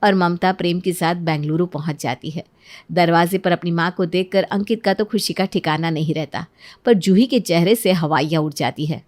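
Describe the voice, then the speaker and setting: A woman's voice: on the low side, normal speed, a little mellow. Woman narrating a family story. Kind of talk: scripted moral-story narration.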